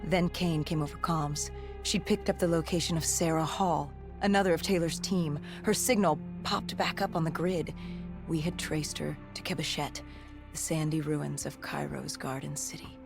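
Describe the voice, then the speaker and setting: Haunted, low-voiced female soldier. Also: steady tone, moderate pace, vocal fry. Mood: haunted, grim. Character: haunted female soldier recounting a zombie apocalypse. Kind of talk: dramatic first-person story narration. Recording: music behind the voice.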